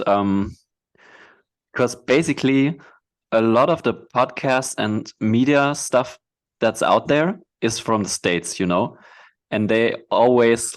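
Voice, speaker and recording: A man hosting a podcast, asking his guest questions in a relaxed, casual way with frequent laughs. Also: medium pitch. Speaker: podcast host. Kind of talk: casual interview chat.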